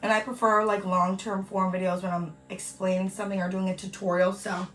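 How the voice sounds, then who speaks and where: Hoarse, chatty young woman vlogging. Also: medium pitch, little pitch movement, fairly quick, far from the mic, rough recording. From Canada. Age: young adult. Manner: bubbly and chatty, uses "like" and "you guys" often. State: hoarse from a cold. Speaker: beauty vlogger. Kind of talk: casual chatty vlog. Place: at home on a hot day.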